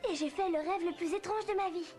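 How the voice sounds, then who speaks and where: A woman's voice: very high-pitched, fairly quick, warm tone, soft background music. A woman voicing a curious, bold little girl in a French-dubbed cartoon. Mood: amazed.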